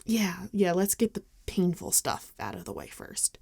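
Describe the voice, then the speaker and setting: A woman acts out a gentle vampire friend in an ASMR roleplay. Her voice is mellow with a creaky edge, and she talks slowly and hesitantly, caring and apologetic. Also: on the low side, huge pitch swings, dry recording, studio quality, exaggerated voice. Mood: caring, reassuring. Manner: hesitant, gentle, halting with soft sighs. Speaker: ASMR voice actor. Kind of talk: ASMR roleplay acting, intimate and conversational.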